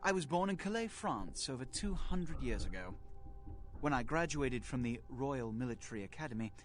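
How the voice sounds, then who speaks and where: An actor playing a centuries-old aristocratic vampire prince in a video game, pompous and condescending, with formal, measured diction. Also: male voice, mid-range voice, expressive, close to an everyday voice. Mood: proud, lofty. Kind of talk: video game dialogue acting. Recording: game audio with background music.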